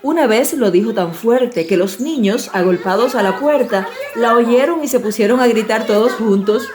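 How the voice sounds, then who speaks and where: A woman storyteller reading a scripted fairy tale in an expressive, measured way. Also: fairly high pitch, music in the background. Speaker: audiobook storyteller.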